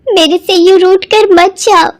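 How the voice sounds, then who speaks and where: A woman's voice: very high voice, steady tone, unhurried, voice pushed up. A woman voicing a devout, earnest young girl with a plaintive manner in a cartoon moral story. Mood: tearful, pleading. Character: devout, tearful young girl pleading with her father.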